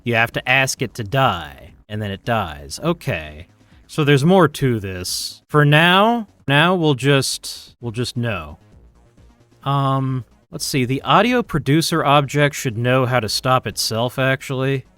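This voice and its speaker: A man's voice: medium pitch, sing-song, slow, a bit bright, dry recording. A man thinking aloud in casual, rambling, self-deprecating commentary as he live-streams his programming. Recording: music playing behind the voice.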